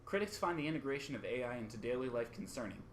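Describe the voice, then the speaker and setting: A man's voice: mid-range voice, sing-song, fast, a little hiss or hum, close to an everyday voice. A man acting as an earnest, conciliatory radio co-host in an amateur radio play, placating in manner. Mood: presenting.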